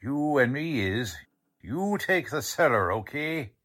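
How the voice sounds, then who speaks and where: A woman's voice: a bass voice, very animated, takes it slowly, fairly bright tone, full character voice. A woman playing a confident, folksy male police lieutenant in a radio drama. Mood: brisk, commanding. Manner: folksy, ungrammatical, reassuring.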